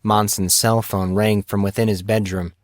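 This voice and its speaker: Guy narrating a novel, deepish voice, scripted and dramatic, super dry recording. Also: little pitch movement, normal speed, no room sound. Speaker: audiobook narrator.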